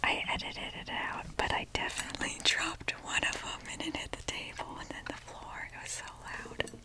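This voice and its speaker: A woman whispering softly and breathily in a casual, chatty, self-deprecating ASMR ramble, with the odd mild swear. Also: moderate pace. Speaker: ASMR video creator.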